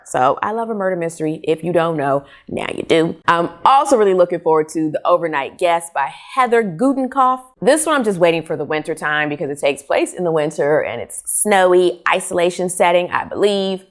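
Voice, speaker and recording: A woman chatting casually to camera as a book reviewer, expressive and emphatic in a conversational way. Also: fairly low pitch, a little fast, few pauses. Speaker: book vlogger, host. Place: small furnished living room, indoors.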